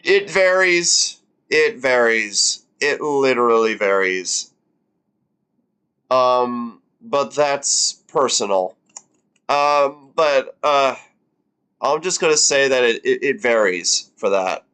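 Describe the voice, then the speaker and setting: A man's voice: mid-range voice, lively intonation, slow pace, sharp tone, smooth. A man in his twenties on a live stream, reacting casually and rambling with lots of fillers and the odd swear word. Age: 20s.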